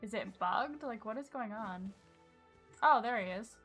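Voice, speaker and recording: A woman with a slightly high voice chats casually through her gameplay as a streamer. Game music plays clearly behind her. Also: unhurried, a bit bright. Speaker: game livestreamer. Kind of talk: casual live gameplay commentary.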